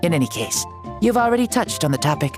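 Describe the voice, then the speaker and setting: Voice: medium pitch, big pitch swings, quick pace, over music, lightly acted. An actor playing an ancient hero who inhabits a young boy's body in a video game, theatrical, jaunty and self-assured. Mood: solemn, storytelling.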